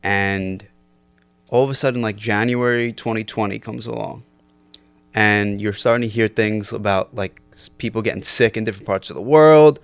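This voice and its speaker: Low-voiced young man telling stories. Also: normal speed, smooth, no room sound. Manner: loose, laughing, lots of "like" fillers. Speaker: podcast guest. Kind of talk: casual storytelling chat.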